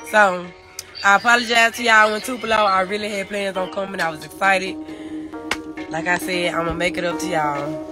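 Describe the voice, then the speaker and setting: A woman's voice: medium pitch, over music. A young woman with a Memphis Southern accent, talking casually to fans on a phone livestream from inside a private jet. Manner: Southern slang, fast and animated. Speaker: rapper on a livestream. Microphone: phone held at arm's length.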